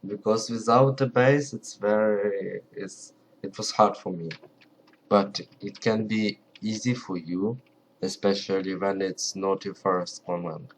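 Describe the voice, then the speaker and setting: A man with a Ukrainian accent, English not his first language, talking quietly and carefully to camera and often pausing to search for words. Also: on the low side, steady tone, a little hiss or hum, low-quality recording. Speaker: video creator. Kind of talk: casual talk to camera.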